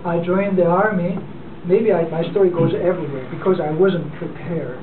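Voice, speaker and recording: A man in his forties from South Korea, with a Korean accent, giving an unprepared speech to a club in a halting way, full of fillers and restarts. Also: sits high, fairly even intonation. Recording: distant, echoey, phone-like and noisy.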